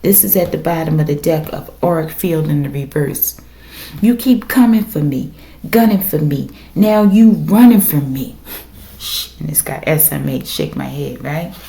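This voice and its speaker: A woman with a raspy voice doing a casual tarot reading for her viewers, speaking emphatically and often checking in with "okay?". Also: deep voice, unhurried, dark tone. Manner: emphatic, repeats "okay?" to the audience. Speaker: tarot reader. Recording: echoey room with faint noise.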